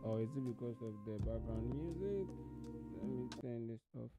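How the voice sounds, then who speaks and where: A man's voice: medium pitch, lively intonation, normal speed. A man hosting a work-and-study livestream, greeting viewers by name and checking whether they can hear him. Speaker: livestream host. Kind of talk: casual livestream chat. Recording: music playing behind at first.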